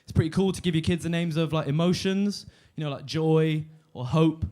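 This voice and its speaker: A man in his twenties doing a deadpan stand-up set on a comedy club stage, halting with fillers and restarts. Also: on the high side. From London. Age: 20s.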